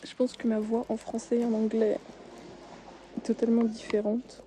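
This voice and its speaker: A young woman talks in a hushed, soft-spoken way and often drops into a whisper. She pauses often as she tidies shelves in a supermarket aisle. Her voice is very dark and mellow, sits a little high, and stays fairly flat, with a faint store hum behind her. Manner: soft-spoken, drifting into whispers, many hesitations. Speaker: ASMR creator. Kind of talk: soft-spoken ASMR while tidying shelves. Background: faint store ambience.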